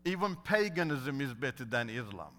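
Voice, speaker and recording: Earnest man, Turkish native, bright voice. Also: sing-song, moderate pace. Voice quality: non-native English with fillers. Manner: earnest, builds to emphatic preaching with rising pitch. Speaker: guest speaker telling his testimony. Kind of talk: testimony sermon.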